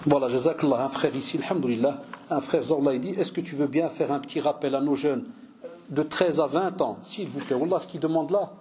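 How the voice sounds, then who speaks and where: A man in his forties from Belgium, an imam giving a religious lecture, telling lively stories and mixing in Arabic phrases. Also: a little high, a little fast, a little hiss or hum. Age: 40s. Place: mosque cultural center hall.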